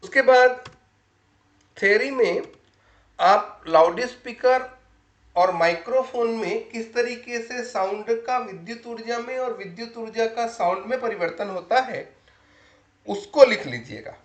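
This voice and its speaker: A man's voice: very high-pitched, a little slow. A man giving a step-by-step lab demonstration as a physics teacher, instructing and pointing things out as he goes. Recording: echoey room, noisy with hum.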